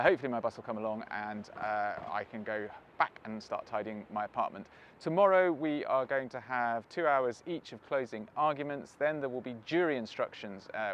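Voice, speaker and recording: A man's voice: medium pitch, lo-fi sound, a little hiss or hum. A British man hosting, chatting casually and self-deprecatingly outdoors while waiting for a bus. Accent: British English. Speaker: host. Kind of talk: casual interview and vlog wrap-up.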